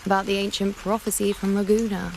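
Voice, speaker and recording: A woman playing a sharp-tongued, proud young priestess in a video game, wry and dramatic in her delivery. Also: mid-range voice, little pitch movement, fast talker, vocal fry, a little hiss or hum, natural voice. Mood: uneasy.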